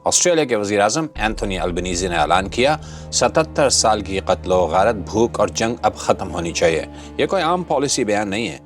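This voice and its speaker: A man with a fairly low voice presents scripted commentary as a channel host. His delivery is rhetorical and dramatic with a sardonic edge. Music plays faintly behind him. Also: sing-song, normal speed. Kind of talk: scripted opinionated political commentary. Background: background music.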